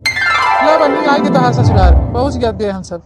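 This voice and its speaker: Woman as a high-voiced, impatient Awadhi palanquin bearer. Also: animated, moderate pace, over loud music, close to an everyday voice. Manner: hurrying, nagging. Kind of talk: Awadhi comedy cartoon dubbing.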